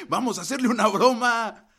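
Rowdy mexican rebel sidekick, high sharp voice, super gleeful, radio play. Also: male voice, breathy edge, exaggerated voice. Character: gleeful, mischievous male revolutionary sidekick, rowdy and loyal. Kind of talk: radio drama acting.

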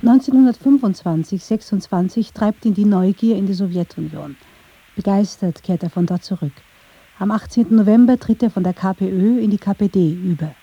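A woman narrating a radio documentary from a script in a measured storytelling style. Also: mid-range voice, husky, no room sound, dull audio.

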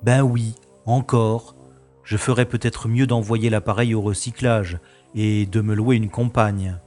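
A man's voice: on the low side, fairly even intonation, unhurried, a little mellow, faint music behind, lightly acted. A man acting a bored, cynical special agent in a science-fiction audio drama, with sardonic, world-weary complaining. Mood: curious, wry.

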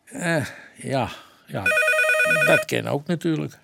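A man's voice: a little high, slow pace, creaky, very noisy, full character voice. An actor playing an eager, deferential junior policeman in a radio drama, polite, informal and hesitant. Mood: eager, tentative.

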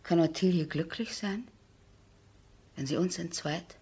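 A woman acting in a 1970s German radio drama as a composed, resolute aristocratic wife, with measured, formal diction. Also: lowish voice, warm tone, slightly rough, full character voice. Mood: firm, pained. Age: middle-aged.

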